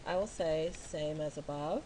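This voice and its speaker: A woman's voice: low voice, mellow, slight background noise, rough recording. Patient American woman walking through a form. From California. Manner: slow, patient, spells out each step. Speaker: online English teacher. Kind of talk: screen walkthrough tutorial.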